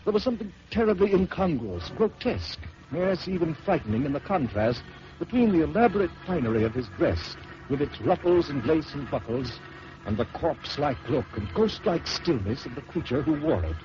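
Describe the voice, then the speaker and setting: A man narrating a first-person horror story in dramatic old-time radio style, swelling and dropping to hushed tones. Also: on the high side, sing-song, moderate pace. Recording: dull lo-fi old radio recording with hiss.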